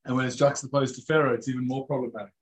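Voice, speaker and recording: A man's voice: mid-range voice, steady tone, rapid-fire, husky. A man who is a rabbi giving an informal lecture to a live group of students. He talks casually, fills his sentences with "like" and keeps asking the room questions. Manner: casual and chatty, many "like" fillers, questions to the audience. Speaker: rabbi teaching a class.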